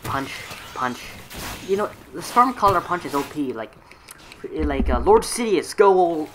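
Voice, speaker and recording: A man's voice: medium pitch, sharp tone, a little hiss or hum. A young man giving casual, rambling, self-mocking voiceover commentary on his own gameplay clips. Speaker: gaming video creator. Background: game music.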